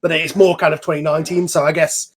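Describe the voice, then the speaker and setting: A man's voice: fairly high pitch, level tone, fast talker. A man hosting a casual online group chat, rambling informally and swearing now and then. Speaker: host and series creator. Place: online voice call.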